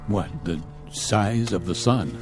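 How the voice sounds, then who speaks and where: An actor playing a stern, pragmatic spaceship colonel with an authoritative manner in a radio drama. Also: male voice, on the low side, takes it slowly, music in the background. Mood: grim, measured. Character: stern, commanding male spaceship colonel, steady under strain.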